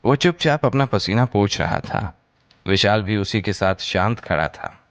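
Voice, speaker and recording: A man's voice: fairly low pitch, expressive, measured pace, fairly bright tone. A man narrating a scripted audio story in a dramatic style. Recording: music behind the voice. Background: background music.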